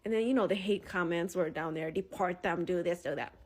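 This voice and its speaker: A woman's voice: lowish voice, fairly quick. A woman giving a casual, opinionated social media monologue, conversational and emphatic, repeating her points.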